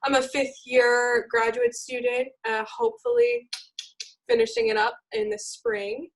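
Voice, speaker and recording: A woman's voice: very high-pitched, expressive, a little slow, gravelly, rough recording. A woman graduate student who teaches acting, introducing herself in an upbeat way on a video call. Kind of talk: self-introduction.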